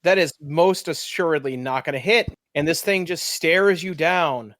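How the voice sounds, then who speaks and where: Higher-voiced man running a tabletop game. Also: normal speed, fairly bright tone, no echo. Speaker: game master and podcast host.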